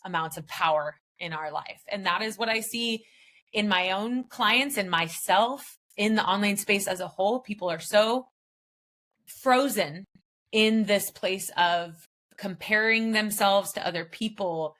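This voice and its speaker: A woman's voice: medium pitch, measured pace, fairly bright tone. A woman guest in a podcast interview, speaking emphatically and casually about mindset, with an occasional light swear. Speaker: guest, business founder. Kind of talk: motivational interview talk.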